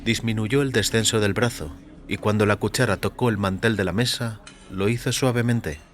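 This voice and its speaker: Male narrator, lowish voice, measured literary reading, scripted fiction, faint music behind. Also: fairly even intonation. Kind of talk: scripted first-person story narration.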